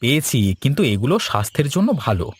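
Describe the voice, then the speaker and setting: A man's voice: mid-range voice, very animated, fast talker, slightly rough, no room sound. A man voicing a stingy, fussy, complaining eldest son-in-law in a Bengali cartoon story. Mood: self-satisfied.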